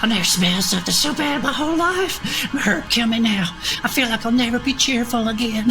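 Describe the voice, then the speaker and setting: High, excitable little folksy adventurer. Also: male voice, a little fast, rough recording. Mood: disgusted, distraught. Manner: folksy, exclamatory. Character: small, excitable, folksy male adventurer, dramatic worrier. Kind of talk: audio drama acting. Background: loud music.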